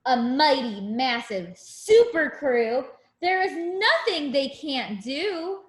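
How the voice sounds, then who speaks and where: A woman with a high voice reads a picture book to small children in a slow, unhurried way. Her intonation swings widely and expressively, and she speaks simply, as a teacher does to young pupils. The room adds a noticeable echo. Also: expressive. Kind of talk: children's read-aloud with asides to the listeners.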